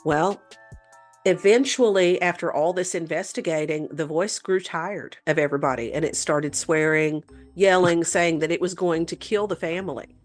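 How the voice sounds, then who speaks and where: Low-voiced woman telling a story. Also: normal speed, soft background music. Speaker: podcast host telling a paranormal story. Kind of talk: conversational storytelling.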